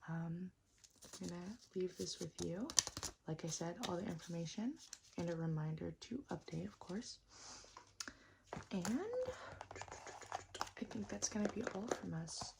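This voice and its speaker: A woman doing an ASMR roleplay as a repair technician, whispering and speaking softly in a gentle, reassuring, unhurried way. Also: lowish voice, gravelly, very noisy. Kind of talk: ASMR roleplay, mostly whispered and soft-spoken.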